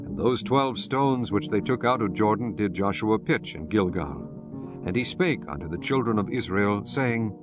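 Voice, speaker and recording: A man narrating scripture for an audiobook in a solemn, measured and formal reading style. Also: fairly low pitch, lively intonation, music in the background.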